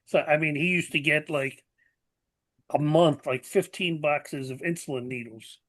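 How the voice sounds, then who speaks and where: An older man in his seventies hosts a podcast chat, his voice bright and somewhat high for a man. He talks in a plainspoken, earnest way, with plenty of "you know" and "like". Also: measured pace. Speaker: podcast host. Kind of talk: conversational interview.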